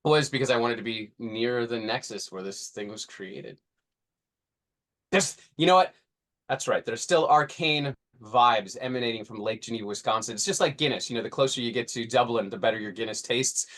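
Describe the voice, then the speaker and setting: A man's voice: medium pitch, moderate pace, a bit bright. A man in his forties co-hosting a podcast in casual discussion, rambling and often restarting mid-thought. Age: 40s. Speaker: podcast co-host.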